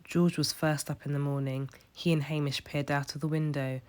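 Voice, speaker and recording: A woman's voice: sits low, little pitch movement, moderate pace, darkish tone. A woman storyteller reading a children's picture book aloud as scripted narration.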